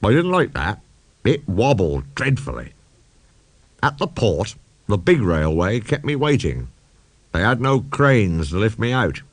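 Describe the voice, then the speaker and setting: A man's voice: fairly low pitch, big pitch swings, unhurried, no room sound. A man voicing a proud, indignant old male steam engine who tells grumbling, emphatic stories in a children's audiobook. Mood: reminiscing.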